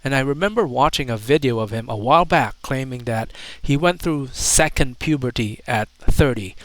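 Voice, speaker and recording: A man's voice: medium pitch, animated, normal speed, fairly bright tone, dry recording. A man giving opinionated, accusatory commentary in the manner of a video essay. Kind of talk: critical commentary.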